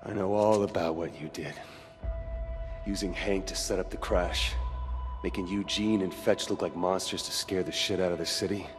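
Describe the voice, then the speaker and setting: Casting: man to play defiant young male game hero, low gravel voice, blunt; reverberant hall sound. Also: fairly even intonation, moderate pace, close to an everyday voice. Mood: angry accusing. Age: young adult. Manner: accusing, blunt. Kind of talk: video game cutscene acting. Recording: long reverb, music underneath.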